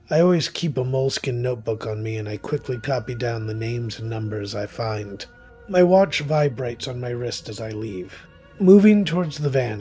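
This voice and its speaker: A man performs as a world-weary male witch telling his own horror story in an audio drama. He is wry and brooding, theatrical in delivery, with a mid-pitched voice. Music plays clearly behind him. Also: a little mellow, natural voice. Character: weary, cynical male witch hunting through a haunted house. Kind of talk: first-person audio drama narration, horror thriller.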